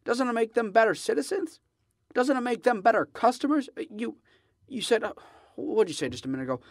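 A high male voice , an actor's, for an earnest young idealist in a radio drama. He stammers and restarts, then pushes on with emphasis. The sound is very dry. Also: animated, a little fast, no room sound. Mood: indignant, impassioned. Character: earnest, impassioned young man, stammering small-town idealist.

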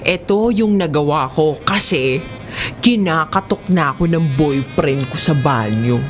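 A woman with a Filipino accent narrating a comedic first-person story in an expressive, giggly, conversational way. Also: low-pitched, a little slow, soft background music.

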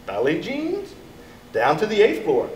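Middle-aged male storyteller, expressive and deliberate for young children, slightly high voice, very roomy off-mic sound. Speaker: elementary school staff member reading to children. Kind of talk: animated children's storybook read-aloud. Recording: echoey, distant mic with background noise.

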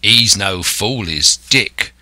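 Man as a surly, growling, slow pirate coxswain. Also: lowish voice, lively intonation, pushed and bright, no echo, full character voice. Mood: impatient. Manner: growling, surly, rough seafaring dialect. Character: impatient, grumbling male pirate coxswain.

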